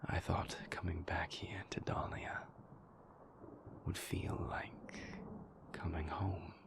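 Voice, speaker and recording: Male actor as remorseful vampire lover, deep and breathy, measured formal confession, heavy fry, intimate audio drama. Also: level tone, full character voice. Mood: weary, confessional. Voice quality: breathy, gravelly near-whisper. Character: brooding, remorseful male vampire boyfriend, former royal enforcer. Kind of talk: intimate audio roleplay monologue.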